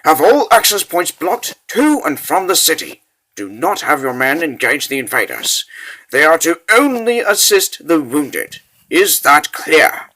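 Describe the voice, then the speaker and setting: A man's voice: a little high, big pitch swings, measured pace, pushed and bright, heavily acted, rough recording. A man playing a stern, burdened king in a comic dub, speaking with formal command. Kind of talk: dramatic comic dub acting.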